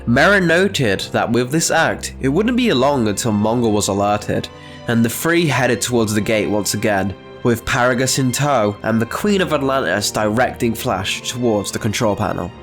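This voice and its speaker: A man's voice: mid-range voice, lively intonation, moderate pace, faint music behind. A man reading scripted, dramatic story narration as the narrator.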